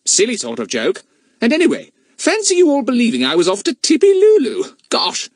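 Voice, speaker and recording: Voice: medium pitch, huge pitch swings, a little fast, no room sound, studio quality, voice pushed up. A narrator voicing a confident, breezy boy who leads his friends in a storybook. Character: cheerful, confident boy leader.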